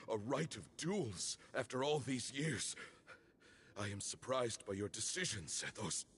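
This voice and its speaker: A man voicing a grave, weary elderly grandfather in a video game, speaking deliberately. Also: mid-range voice, huge pitch swings, a bit bright, a little hiss or hum, exaggerated voice. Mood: grave, surprised. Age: older. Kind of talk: video game cutscene acting.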